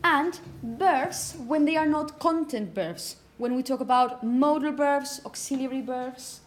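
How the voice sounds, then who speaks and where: A woman's voice: sits high, measured pace. A woman phonetics teacher lecturing to students, explaining and emphasizing example words, with frequent check-in questions. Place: classroom, indoors. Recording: echoey room, background noise.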